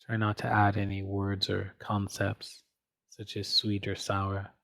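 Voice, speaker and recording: A man leading a guided meditation as a teacher, gently and unhurriedly, leaving frequent pauses between phrases. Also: on the low side, completely flat delivery, vocal fry.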